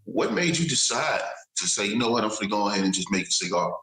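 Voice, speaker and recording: A man's voice: lowish voice, big pitch swings, quick pace, sharp tone. A man from Chicago hosting a podcast, asking questions in a loose, laughing way with restarts. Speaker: podcast host. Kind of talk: casual chat. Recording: echoey room.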